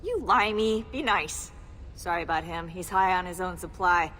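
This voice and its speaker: A woman playing a brisk, wry and friendly courier in a video game scene. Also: lowish voice, a bit bright, close to an everyday voice. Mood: teasing, businesslike. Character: brisk, teasing female courier. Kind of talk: video game cutscene acting. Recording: long reverberant hall, faint noise.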